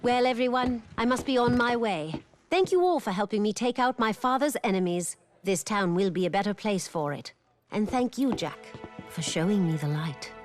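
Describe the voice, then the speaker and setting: A woman's voice: mid-range voice, animated, normal speed, faint music behind, close to an everyday voice. A woman playing a cool, assertive young crime heiress in a video game, confident and commanding. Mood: gracious.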